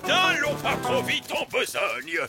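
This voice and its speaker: An actor playing a boastful, excitable pirate captain in a cartoon, with a raspy voice and blustering, theatrical delivery. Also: male voice, very high-pitched, slow pace, loud music behind the voice, heavily acted. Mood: blustering, defensive. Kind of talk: cartoon voice acting in French.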